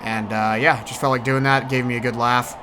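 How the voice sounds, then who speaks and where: A man gives an off-the-cuff voice-over for a gaming video. His voice sits at an average pitch and he rambles along in a laid-back, hesitant way. Very loud car sounds from a racing game run behind him. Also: level tone, a little fast, noisy background. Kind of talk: casual rambling voice-over commentary.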